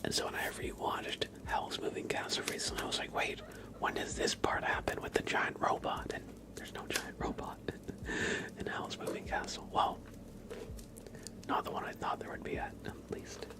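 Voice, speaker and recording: A man doing casual ASMR chat in a hushed, mostly whispered voice, rambling gently. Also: moderate pace. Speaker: ASMR livestreamer.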